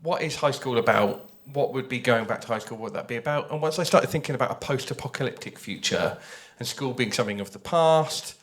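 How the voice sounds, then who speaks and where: Male panel speaker, mid-pitched, thoughtful and explanatory, conversational, repeats phrases for emphasis. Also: a little fast. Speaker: audio drama writer on a festival panel.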